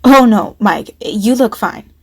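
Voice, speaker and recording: A woman's voice: medium pitch, takes it slowly, a little mellow, slightly rough. Female actor as a friendly, apologetic young woman; casual and chatty.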